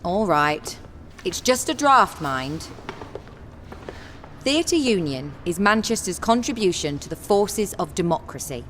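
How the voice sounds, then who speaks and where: An actress playing a brisk, decisive female theatre director in a radio drama. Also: on the low side, big pitch swings, fairly bright tone, slight background noise. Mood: earnest. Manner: commanding, decisive.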